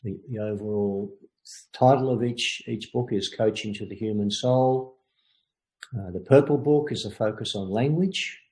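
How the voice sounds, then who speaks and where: An older man coaching a group in a webinar, talking in a measured, thoughtful way with frequent pauses. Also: on the low side, darkish tone, low-quality recording. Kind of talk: informal lecture and Q&A.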